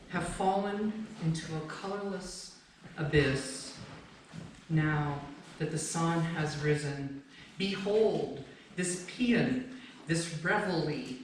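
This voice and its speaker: A woman poet speaks to an audience at a very slow pace. Her voice comes from some distance off in a very echoey room, with faint background noise. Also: fairly low pitch. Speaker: poet presenting own poem. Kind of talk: introducing and reciting a poem to an audience. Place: echoey room at a live book launch event.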